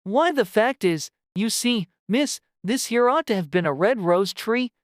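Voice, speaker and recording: Man acting humble rustic gardener, high raised voice, folksy, deferential, dry storybook recording. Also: expressive, a little slow, no room sound. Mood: anxious, deferential. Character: humble, anxious male gardener, rustic speech.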